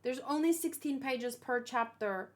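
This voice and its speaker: Higher-pitched woman, musing casually. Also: measured pace. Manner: musing, thinking aloud, asking questions. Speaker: manga reaction host. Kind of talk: casual unscripted chat.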